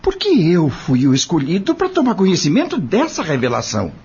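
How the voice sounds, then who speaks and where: Man acting husky, animated Brazilian farm labourer. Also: high-pitched, brisk, heavily acted. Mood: intrigued, impatient. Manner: insistent, questioning, plain-spoken. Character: curious, impatient male farm labourer with a grievance. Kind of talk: audio drama acting. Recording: dull lo-fi audio drama with music.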